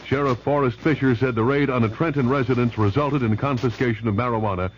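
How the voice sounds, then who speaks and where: A man reading local news and weather on the radio in a brisk, even newsreading style. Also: mid-range voice, a little hiss or hum. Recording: dull old radio broadcast.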